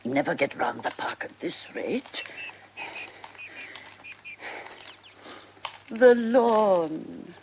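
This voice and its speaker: An actress playing an older, faded stage diva in a radio drama, eccentric and wistful, talking to herself in a halting, theatrical manner. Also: female voice, on the low side, sing-song, darkish tone, a little hiss or hum, full character voice, rough recording. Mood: brisk, wistful.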